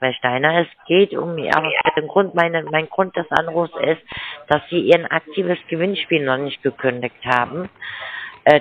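A man's voice: fairly high pitch, highs cut off. Pushy, flustered call center man. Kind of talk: phone sales call.

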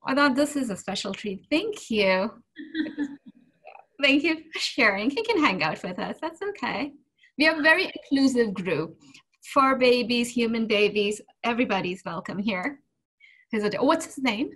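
A woman moderating a panel on a video call from a room at home, warm, giggly and enthusiastic. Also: on the high side, very animated, moderate pace. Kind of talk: hosting a panel.

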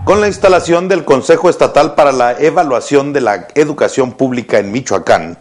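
Middle-aged Mexican man, studio news anchor, medium-pitched scripted delivery. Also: expressive, brisk, darkish tone, slight background noise.

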